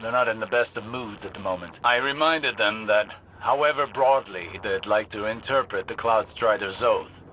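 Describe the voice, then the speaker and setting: An actor playing a wise, stern old warlock scholar in a video game, speaking in a measured, formal, grave way. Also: male voice, on the low side, bright, forceful tone. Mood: dry. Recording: echoey game audio with faint noise.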